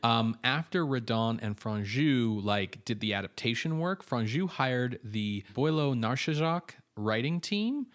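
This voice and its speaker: A man's voice: medium pitch, expressive, measured pace, hardly any pauses. A man co-hosting a film podcast, reading background notes in an informative, casual way with frequent fillers. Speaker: podcast co-host.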